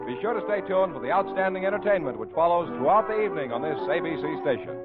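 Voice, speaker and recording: High-voiced American radio announcer man over loud music. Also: animated, quick pace. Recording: muffled old radio, loud music behind.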